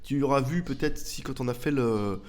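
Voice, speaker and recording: A man's voice: mid-range voice, normal speed. Casual rambling man with a cold. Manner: rambling, loose and chatty, with slang and swearing. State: has a head cold. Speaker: YouTube tech channel host answering viewer questions. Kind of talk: casual off-the-cuff chat.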